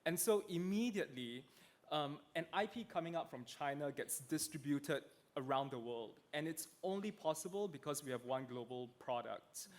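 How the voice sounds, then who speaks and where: A man from Singapore answering questions as a panelist at a conference, thoughtful and speaking with frequent "um" fillers. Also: fairly high pitch. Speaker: panelist, internet platform representative. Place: conference stage.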